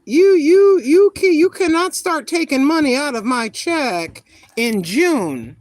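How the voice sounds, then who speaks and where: A woman in her sixties chatting casually with viewers on a live stream, greeting them by name and talking her thoughts through out loud. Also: high-pitched, animated, slow pace, no echo. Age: 60s. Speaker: vlogger greeting live chat.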